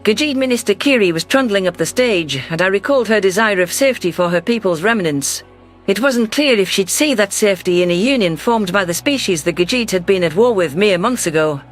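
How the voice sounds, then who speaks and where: A man's voice: sits high, lively intonation, a little fast, fairly bright tone, soft background music. A man narrating a science fiction story in the first person, reading a scripted text.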